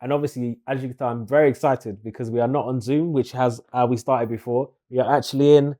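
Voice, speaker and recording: A man's voice: mid-range voice, steady tone, fast talker, warm tone. A man hosting an interview, chatty and enthusiastic with loose phrasing. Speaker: host.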